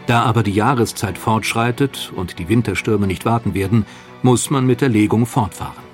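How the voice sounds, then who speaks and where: A man narrating a history documentary in a steady, matter-of-fact way. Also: fairly low pitch, moderate pace, faint music behind.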